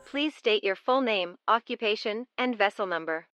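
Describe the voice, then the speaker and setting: Woman as a formal spaceship computer, loud music. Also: mid-range voice, animated, moderate pace, natural voice. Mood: formal, welcoming. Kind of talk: automated announcement in radio drama.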